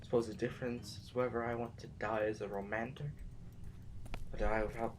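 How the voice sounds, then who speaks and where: A man's voice: mid-range voice, level tone, fairly quick, natural voice. A man playing an anguished, bitterly philosophical reluctant rebel in a science fiction audio drama, with a theatrical, wordy delivery. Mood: bleak resignation. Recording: faintly noisy amateur recording.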